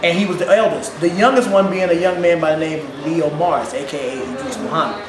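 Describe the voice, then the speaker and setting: A man's voice: a little high, fast talker, a crowd in the background. A man born in Ghana and raised in St. Louis with a St. Louis accent, a percussionist chatting animatedly as an interview guest. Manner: animated, laughing, repeats words. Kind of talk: casual interview. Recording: echoey room with loud background noise.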